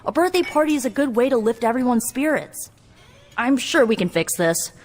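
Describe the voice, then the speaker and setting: A woman's voice: fairly high pitch, animated, moderate pace, close to an everyday voice. A woman playing an eager, earnest young female superhero in a video game cutscene, chatty and insistently optimistic. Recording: long hall reverb, music behind.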